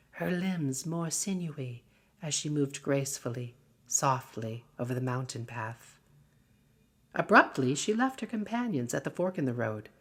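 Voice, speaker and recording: Low-voiced woman reading a story. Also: husky. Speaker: story reader. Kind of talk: scripted literary narration.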